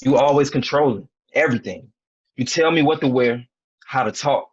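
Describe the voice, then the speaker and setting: A man's voice: medium pitch, normal speed, slightly rough, highs cut off, lightly acted. A man playing a defensive, frustrated young husband in a dramatic table read, arguing in a colloquial way. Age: young adult. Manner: argumentative, colloquial.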